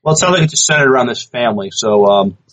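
A man co-hosting a podcast, casually reading news items aloud in a halting, stumbling way. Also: medium pitch, expressive, fairly bright tone, low-quality recording. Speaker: podcast co-host.

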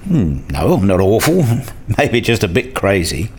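A man's voice: fairly low pitch, big pitch swings, unhurried, a little mellow, slightly rough, exaggerated voice. A man playing a curious, self-deprecating researcher and father in a scripted radio play, also narrating it. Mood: amused, unsure.

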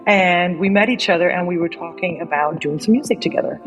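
A woman's voice: lowish voice, brisk, faint music behind, low-quality recording. A woman DJ and singer, raised abroad, chatting casually in an interview, often repeating words as she restarts her sentences. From Denmark, raised in Egypt. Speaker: guest DJ and singer.

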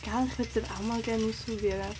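A woman's voice: fairly high pitch, steady tone, fairly quick, noisy background, close to an everyday voice. Slangy teenage girl played by a young woman. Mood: hesitant, thoughtful. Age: young adult. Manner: casual youth slang. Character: cautious but adventurous teenage girl, easily impressed. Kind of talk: amateur radio drama dialogue.

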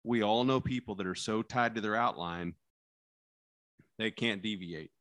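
A man with a lowish, loud, carrying voice, recorded close and dry with no room sound. He talks casually about courtroom practice as a lawyer. He animates his stories and acts out lines, sinking his voice down low for effect. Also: a little slow, no room sound. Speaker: criminal defense lawyer.

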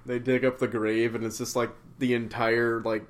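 A young man co-hosting a casual comedy podcast, voice tired from a day of talking, playful and quick to laugh. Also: medium pitch, little pitch movement. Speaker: podcast co-host and writing tutor.

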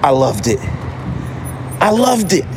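Casting: American male podcast host, very unhurried delivery, casual and emphatic, recorded over loud urban street noise. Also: fairly high pitch, sing-song. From United States. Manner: emphatic repetition of phrases. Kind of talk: casual solo podcast monologue. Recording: noisy outdoor city street ambience. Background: street noise, traffic, banging.

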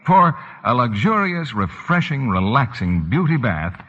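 A man announcing a 1940s radio sales pitch, with a husky voice and scripted polish. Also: sing-song, measured pace, sharp tone. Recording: muffled, reverberant vintage radio broadcast.

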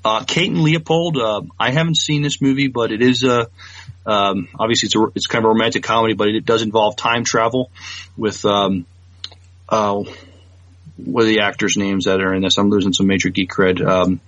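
A man's voice: lowish voice, fairly even intonation, normal speed, a bit bright, dry recording. A man co-hosting a podcast in casual chat, hesitant and thinking aloud as he goes. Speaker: podcast co-host. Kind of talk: casual chat about films. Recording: dull, lo-fi, dry sound.

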